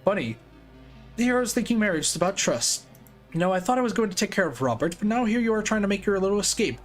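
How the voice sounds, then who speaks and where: A man's voice: high-pitched, lively intonation, fast, faint music behind, pitched above the performer's own voice. A man voicing a smug, manipulative male villain who taunts with theatrical mockery. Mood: taunting. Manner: mocking and theatrical.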